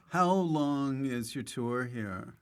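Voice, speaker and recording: A man's voice: medium pitch, expressive, extremely slow, clear, resonant tone. A man hosting a comedy podcast, chatting casually with jokey banter and slangy asides. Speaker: podcast host.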